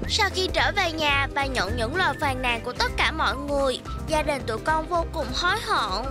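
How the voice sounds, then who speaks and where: A small child hero from a cartoon, cute and earnest. The childlike voice is extremely high, with music playing behind it. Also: female voice, animated, moderate pace. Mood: pleading. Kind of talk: animated cartoon dubbing.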